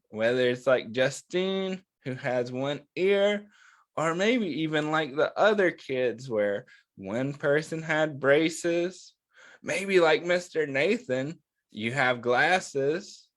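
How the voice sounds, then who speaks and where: A man's voice: fairly high pitch, a bit bright. A man hosting storytime for young children, talking to them in simple, reassuring words and often checking that they are following along. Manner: simple, reassuring, checks in with listeners, drawn-out phrasing. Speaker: children's storytime host reading a picture book. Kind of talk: storytime read-aloud and chat to young children.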